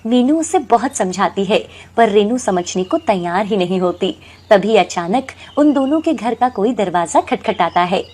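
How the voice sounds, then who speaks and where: A woman's voice: medium pitch, animated, moderate pace, a little mellow. A woman narrating a scripted moral story.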